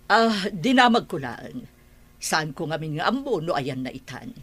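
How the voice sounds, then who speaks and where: A woman playing a grumbling, complaining mother in a radio drama, with a husky voice. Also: low-pitched, sing-song, a little slow, a bit bright, full character voice. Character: grumbling mother, complaining about her daughter.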